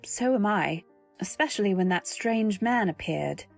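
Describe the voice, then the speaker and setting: A woman's voice: medium pitch, animated, measured pace, gravelly, faint music behind. Earnest woman narrating a radio drama. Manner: earnest first-person storytelling, anxious and gentle. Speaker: narrator and actor. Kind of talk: 1940s radio acting.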